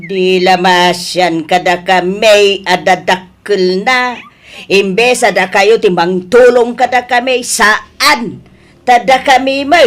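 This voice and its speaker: An older Ilocano mother-in-law in a radio drama. She speaks slowly and expressively, worrying, sighing and complaining as she nags the family. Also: a little high, fairly bright tone. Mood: scolding. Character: nagging, worried older mother-in-law and aunt.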